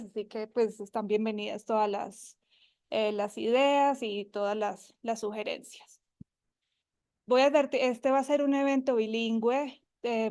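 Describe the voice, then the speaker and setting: Colombian woman, guest researcher, friendly talk with fillers, higher voice, dry close mic. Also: a little mellow, dry recording. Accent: Colombian, from Armenia (coffee region). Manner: friendly, with many fillers and restarts. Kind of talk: academic presentation to an audience. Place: university seminar room.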